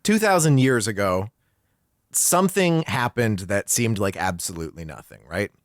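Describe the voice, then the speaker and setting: A man's voice: on the low side, animated, normal speed, no echo, clean studio sound. A man co-hosting a podcast in casual, comedic chat, retelling things in colloquial language. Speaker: podcast co-host.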